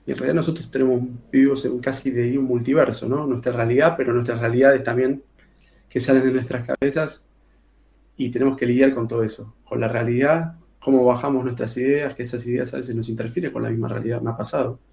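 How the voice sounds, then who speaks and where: A man's voice: medium pitch, little pitch movement, quick pace, vocal fry, a little mellow. A man with a Rioplatense Spanish accent, an author talking casually in an interview, colloquial, with many filler sounds and restarts. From Argentina. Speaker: author guest.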